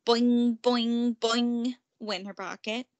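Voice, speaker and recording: A woman reading a children's picture book aloud as narrator, expressive and emphatic. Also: fairly high pitch, slow pace, no room sound. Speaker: storybook reader.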